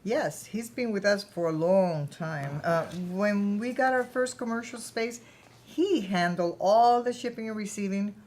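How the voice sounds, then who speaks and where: A woman's voice: medium pitch, a little slow, darkish tone, slight background noise, natural voice. A woman playing a proud, ambitious, chatty founder of her own company in a staged play reading. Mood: proud, reminiscing.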